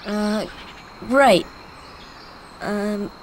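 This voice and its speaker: A man's voice: high voice, fairly even intonation, drawn-out words, slight background noise, poor audio. An actor playing an earnest, hesitant teenage boy adventurer in a video game.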